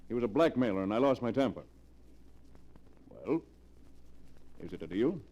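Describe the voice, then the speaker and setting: Commanding, bright-edged millionaire in radio drama. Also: male voice, mid-range voice, sing-song. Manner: brisk, businesslike, self-assured. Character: commanding, calculating millionaire fugitive with a hot temper. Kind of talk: 1940s radio acting. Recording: muffled 1940s radio drama recording.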